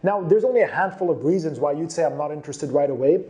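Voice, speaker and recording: A man's voice: on the high side, lively intonation, quick pace, dark tone. A man presenting career advice in an emphatic, instructive manner, stressing and repeating key points. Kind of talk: presenting instructional tips to camera.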